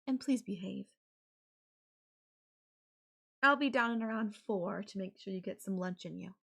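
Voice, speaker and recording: A woman's voice: mid-range voice, creaky, a bit bright, slightly rough. A woman playing a possessive, sarcastic and menacing yandere girlfriend in an audio roleplay, talking with sweet condescension. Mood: cold, threatening. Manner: slow, sarcastic, sweetly condescending with veiled threats. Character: possessive, menacing yandere girlfriend holding her partner captive. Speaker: voice actor.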